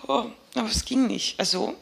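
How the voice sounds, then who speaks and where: Junge Frau, stockend, mit Knarren in der Stimme. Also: female voice, fairly high pitch, vocal fry, husky, full character voice. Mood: searching, uneasy. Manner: halting, colloquial, with fillers and self-corrections. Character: young woman recounting a strange dream, searching for words. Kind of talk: naturalistic radio drama monologue.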